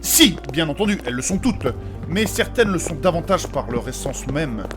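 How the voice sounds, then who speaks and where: A man's voice: on the high side, big pitch swings, normal speed. A man playing a pedantic, formal scholar of magic who explains precisely and gets flustered easily in a comedic fantasy audio drama. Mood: cautious. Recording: music playing behind the voice. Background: music.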